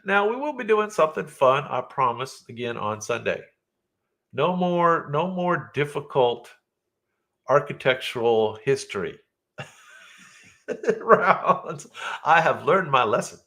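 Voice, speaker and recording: A man's voice: fairly high pitch, lively intonation, takes it slowly, pauses often, pushed and bright. An older man hosting a live trivia night, chatty and jokey, reading clues with playful drama and laughing often. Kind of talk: live-streamed trivia chat with viewers. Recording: music playing under the voice.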